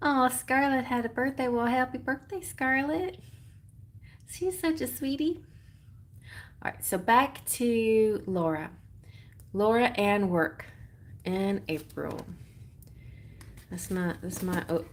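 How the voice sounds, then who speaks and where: A woman's voice: lowish voice, a little hiss or hum. A woman putting on a Texas accent, chatting casually as she hosts a live tarot reading, playful and rambling as she thinks aloud. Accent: put-on Texas. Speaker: tarot reader hosting a livestream.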